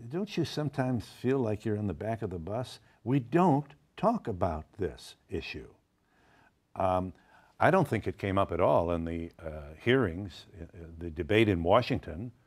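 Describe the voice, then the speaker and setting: Male interviewer, low-ish animated voice, thoughtful probing questions. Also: unhurried.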